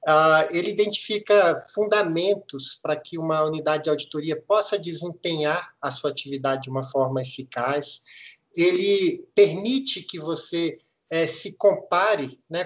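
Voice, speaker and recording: A middle-aged man from Minas Gerais with a mineiro Brazilian accent, explaining a topic in a podcast interview with measured, hesitant restarts. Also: a little high, a bit bright. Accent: Minas Gerais Brazilian Portuguese. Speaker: guest auditor.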